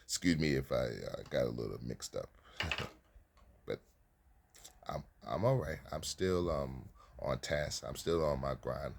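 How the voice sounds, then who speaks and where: A man's voice: very deep voice, slow, creaky, background noise. A man giving dating advice to other men in a rambling motivational monologue, often stumbling, restarting and repeating phrases for emphasis.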